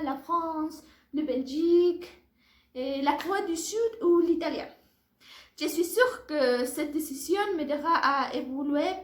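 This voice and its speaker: A woman in her early twenties with a Mexican accent, a native Spanish speaker giving a careful, formal prepared oral presentation as a language student. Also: high-pitched. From Puebla, Mexico. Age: early 20s. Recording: echoey room sound.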